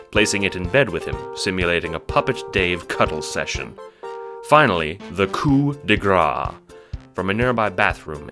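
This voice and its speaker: A man giving dramatic, scripted storytelling narration for an audio drama. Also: sits low.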